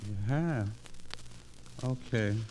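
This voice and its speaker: An actor playing an elderly night elevator operator in a 1940s radio drama, speaking in curt, folksy phrases. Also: male voice, mid-range voice, extremely slow, darkish tone. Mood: curt, grudging. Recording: crackly, noisy 1940s radio disc.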